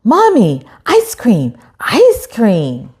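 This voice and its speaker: Woman reading as expressive little storybook boy. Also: on the high side, very slow, heavily acted. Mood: excited. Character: small storybook boy, easily discouraged, then excited.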